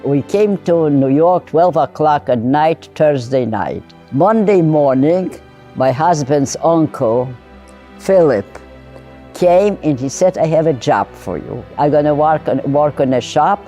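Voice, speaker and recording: An older woman recalling her arrival in a recorded interview, in simple, halting English. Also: deep voice, expressive, warm tone, soft background music. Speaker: survivor interviewee.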